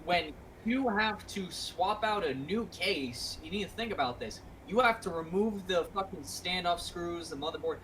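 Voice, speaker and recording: Blunt, bright-voiced woman over background noise. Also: lowish voice, lively intonation, moderate pace, few pauses. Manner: blunt, matter-of-fact, sweary, emphatic. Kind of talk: casual group chat, explaining. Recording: noisy home microphone.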